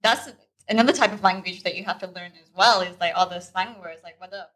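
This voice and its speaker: A woman's voice: lowish voice, quick pace, fairly bright tone. A woman hosting a podcast interview in an informal, conversational way, often affirming what she hears and peppering talk with "like". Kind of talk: casual chat.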